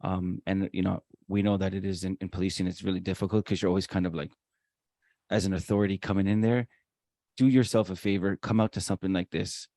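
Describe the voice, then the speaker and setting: A man's voice: low voice, flat delivery, quick pace, mellow, no room sound, clean studio sound. A man co-hosting a conversational interview podcast, talkative and emphatic, with promotional asides. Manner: talkative, emphatic, self-described showman. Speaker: podcast co-host.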